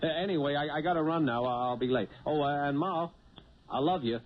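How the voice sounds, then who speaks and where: A man's voice: on the high side, a little fast, close to an everyday voice. An actor playing a male cab driver turned small-time blackmailer in a 1970s radio drama, with hesitant, folksy working-man phrasing. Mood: nervous, evasive. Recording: muffled old radio broadcast, loud vehicle noise.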